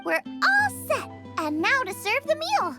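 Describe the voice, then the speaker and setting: An eager lil girl chef for a game, super high n bouncy, perky, soft music under. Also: huge pitch swings, unhurried, full character voice. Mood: cheerful. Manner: perky, exclamatory. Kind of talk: video game voice acting.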